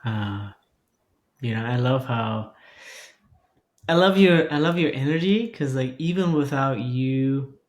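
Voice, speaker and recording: Male podcast host, conversational, reflective and halting in delivery. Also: medium pitch, expressive. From Germany. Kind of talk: casual interview chat.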